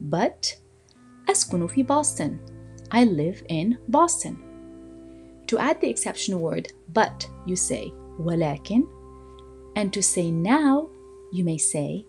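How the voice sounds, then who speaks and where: A woman teaching a language lesson, explaining and slowly repeating phrases for learners to copy. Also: medium pitch, darkish tone. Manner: slow, deliberate repetition of phrases for learners; switches between explaining and modelling phrases.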